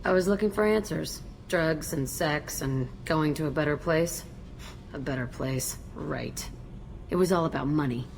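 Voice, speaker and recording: Casting: hardened young criminal woman for a game; low register, tough, cocky, cynical. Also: a little slow, gravelly, a little mellow, a little hiss or hum. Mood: bitter, sardonic. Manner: curt, tough, sardonic. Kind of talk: video game character acting.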